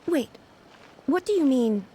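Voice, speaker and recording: A woman acting a composed, aloof immortal ruler in a video game, with formal, measured, dignified diction. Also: sits high, animated, warm tone, husky, heavily acted. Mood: matter-of-fact.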